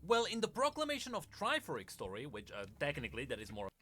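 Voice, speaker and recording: Male lore commentator, higher-pitched and very lively, emphatic with chatty asides. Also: fast talker, husky. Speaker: video game lore commentator. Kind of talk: semi-scripted video commentary.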